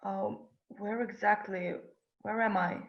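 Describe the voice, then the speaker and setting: A woman's voice: mid-range voice, steady tone, slow, lo-fi sound, close to an everyday voice. A woman reading the part of a confused, hesitant newly dead woman in her late twenties at a script table read.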